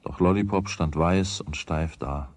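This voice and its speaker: Deep, husky male storyteller. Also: a little slow, no room sound. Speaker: narrator. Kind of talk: scripted narration of a radio play, storybook telling.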